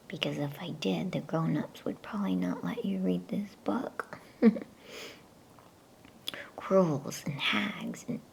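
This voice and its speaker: A woman reads a storybook in an ASMR whisper, soothing and hushed. Her voice sits low with a creaky edge, and she moves slowly from phrase to phrase. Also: poor audio. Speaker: bedtime story reader.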